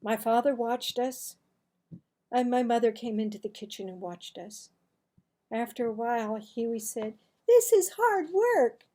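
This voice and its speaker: A woman in her sixties reading a children's story aloud in a dramatic, deliberate, expressive way. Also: fairly high pitch. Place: small home office, indoors.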